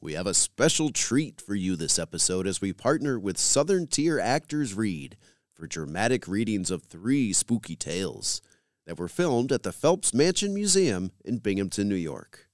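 A man's voice: on the low side, very animated, moderate pace, dry recording, clean studio sound. A man hosting a radio program, reading scripted introductions and credits as a presenter.

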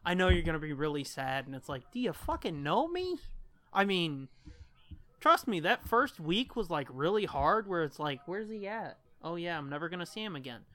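A man's voice: a little high, big pitch swings, moderate pace. A man co-hosting a podcast in casual chat, joking darkly and swearing freely. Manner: irreverent, foul-mouthed, dark joking. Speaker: podcast co-host.

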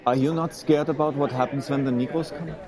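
A man playing a troubled, guilt-ridden immigrant tradesman with a German accent, halting and searching for words in an audio drama. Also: on the high side, steady tone, close to an everyday voice, low-quality recording. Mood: uneasy. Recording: music and bar crowd behind.

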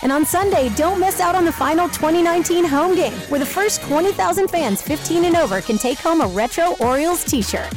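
High-voiced woman in an upbeat promo. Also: expressive, a little fast, few pauses, rough recording. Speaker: radio ad announcer. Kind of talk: upbeat scripted promo read. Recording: prominent music behind the voice.

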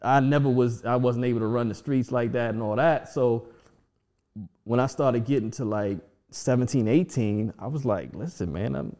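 A man's voice: mid-range voice, moderate pace, mellow. A man in his forties with a middling voice, thinking aloud as he talks. He circles back, restarts his sentences and leans on fillers while reflecting as an interview guest. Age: 40s. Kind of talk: casual conversation.

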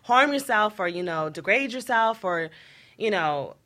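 A woman's voice: mid-range voice, animated, measured pace, a bit bright. A woman co-hosting a talk show who speaks in a casual, reflective way and often says "you know.".

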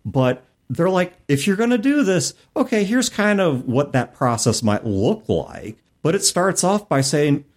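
Lively, animated man on a podcast. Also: a little high, a little slow, hardly any pauses. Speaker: podcast co-host. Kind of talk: casual discussion, reading rulebook passages aloud.

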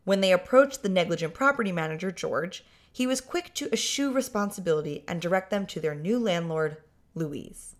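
A woman with a slightly low voice narrates a podcast in a dry, sardonic tone. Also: expressive, moderate pace.

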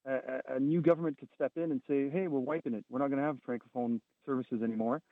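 A man's voice: mid-range voice, fairly even intonation, quick pace, no echo. Francophone male caller, earnest, stumbling repeats, phone-line sound. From Ontario, Canada. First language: French. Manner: earnest, repeats words when pressing a point.

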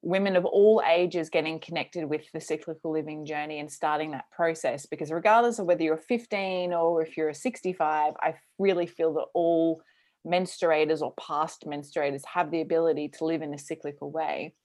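A woman's voice: low-pitched, fairly even intonation, moderate pace, a little mellow. A woman hosting a casual podcast interview as a coach, chatty and enthusiastic. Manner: enthusiastic, chatty, laughs often.

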